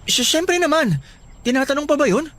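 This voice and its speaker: A man playing a gentle, puzzled, devoted young boyfriend in a Filipino radio drama, coaxing and hesitant. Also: very high-pitched, lively intonation, moderate pace. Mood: stunned, hesitant.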